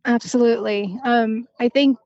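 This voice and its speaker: A woman's voice: fairly high pitch, steady tone, normal speed, a little mellow, no room sound, muffled recording. Thoughtful Romanian-born woman in her forties. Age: 40s. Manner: reflective, with frequent ums and restarts. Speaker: podcast guest, financial planner. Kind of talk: conversational interview.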